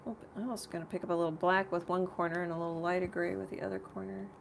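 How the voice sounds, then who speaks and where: A woman with a slightly rough voice hosting a casual live painting tutorial, thinking aloud in a rambling way as she works. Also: lowish voice, fairly even intonation, fast talker, slight background noise. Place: home art studio.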